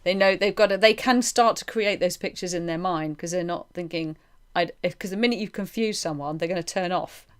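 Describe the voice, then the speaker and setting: A woman with a fairly low voice speaks as a podcast interviewer. Her delivery is informal and conversational, reworking each thought aloud as she explains it. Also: fairly quick, clear, resonant tone.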